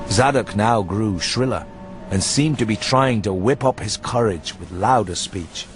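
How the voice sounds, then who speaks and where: Low-pitched male horror narrator over music. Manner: measured, literary storytelling. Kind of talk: scripted literary horror narration for radio.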